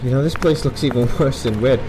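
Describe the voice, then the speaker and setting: A man's voice: medium pitch, quick pace, noisy background, close to an everyday voice. A man acting a hapless, frightened crook who stammers and hesitates, in an audio drama. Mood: nervous, hesitant. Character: hapless, frightened man pushed into crime, muffled by a mask. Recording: loud music under the voice.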